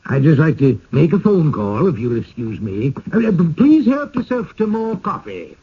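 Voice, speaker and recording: An actor playing a fussy, genial village widower in a radio drama, courteous, apologetic and given to stammering. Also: male voice, a little high, sing-song, moderate pace, husky, exaggerated voice. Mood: eager. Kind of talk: 1980s radio drama acting. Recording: muffled old radio broadcast.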